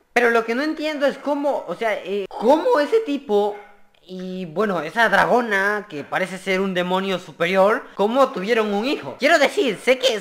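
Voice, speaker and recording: A man's voice: high voice, fairly bright tone. A young adult man giving casual reaction commentary, often repeating words in an incredulous way, recorded on a close mic. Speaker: reaction video host. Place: small bedroom indoors. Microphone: close studio mic on boom arm. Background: cartoon audio and music playing.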